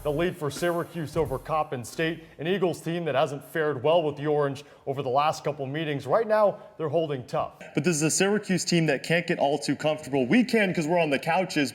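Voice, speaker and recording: A man's voice: a little high, brisk, faint music behind. A young man working as a sports broadcaster, commentating and presenting in a punchy, descriptive announcer's delivery. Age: young adult.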